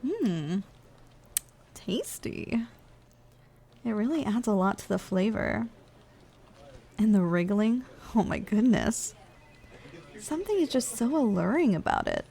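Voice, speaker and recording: A woman's voice: mid-range voice, sing-song, slow pace, gravelly, pauses often, mellow, slightly rough, exaggerated voice. Teasing, bossy giantess played by a woman, ASMR roleplay. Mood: playfully teasing. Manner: teasing, coaxing, casually condescending. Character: playful lazy giantess office worker, teasing and bossy. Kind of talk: intimate ASMR roleplay, addressing the listener directly.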